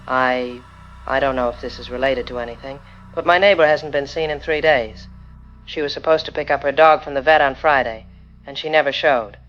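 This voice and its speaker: A man's voice: medium pitch, a little fast, a bit bright, lo-fi sound, a little hiss or hum, natural voice. A man playing a worried, polite caller to a radio phone-in. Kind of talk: radio drama phone-in acting.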